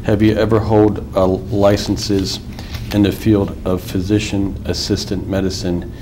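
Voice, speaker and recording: A man questioning a witness as an attorney in a formal deposition, measured with filler pauses. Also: low voice, level tone, background noise.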